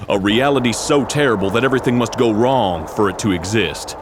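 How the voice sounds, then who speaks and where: An actor playing an earnest, absurdly serious military major briefing an agent in a comedic video game. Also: male voice, on the low side, fairly quick, background noise, close to an everyday voice. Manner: solemn, matter-of-fact about absurd things.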